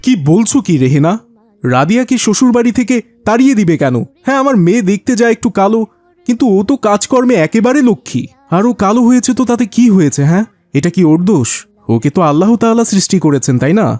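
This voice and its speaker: A man voicing a gentle, reasonable middle-aged father in an Islamic cartoon, explaining things patiently and affectionately. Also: sits high, expressive, a little fast, no echo. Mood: protesting.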